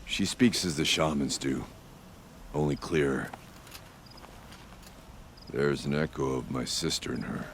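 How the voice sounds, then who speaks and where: Very deep, gravelly warrior chieftain. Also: male voice, a little hiss or hum, exaggerated voice. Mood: resolute. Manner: grave, deliberate, formal phrasing. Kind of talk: scripted video game cutscene acting.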